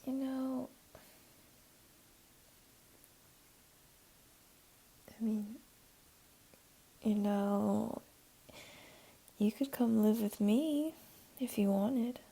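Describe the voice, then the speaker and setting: Casting: woman to play teasing, doting jaguar girl for ASMR roleplay; soft, mellow, whispery, slightly high voice. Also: drawn-out words, long pauses, exaggerated voice, poor audio. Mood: concerned, inviting. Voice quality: soft, breathy, often whispery. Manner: teasing, cooing, soothing. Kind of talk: ASMR roleplay, intimate one-to-one.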